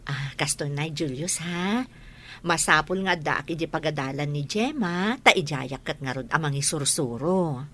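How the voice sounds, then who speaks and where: A woman's voice: low voice, normal speed, a bit bright, a little hiss or hum. A woman playing a caring mother and schoolteacher in a radio drama. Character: caring adult mother who works as a teacher. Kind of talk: Ilocano radio drama acting.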